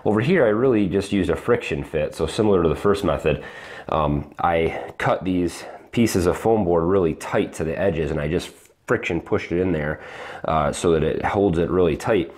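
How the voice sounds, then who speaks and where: Very deep-voiced man explaining DIY work. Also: darkish tone. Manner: halting, many ums and restarts. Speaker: DIY home renovation vlogger. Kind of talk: casual walkthrough explanation. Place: unfinished basement, indoors. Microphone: lapel mic, handheld camera.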